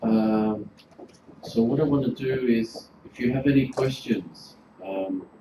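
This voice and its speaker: A man's voice: mid-range voice, slow. Chatty man in an echoey room. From Greece. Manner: chatty, informal, filler-heavy. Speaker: hotel general manager giving a workshop. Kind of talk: relaxed presentation to a class. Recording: roomy, noisy room recording.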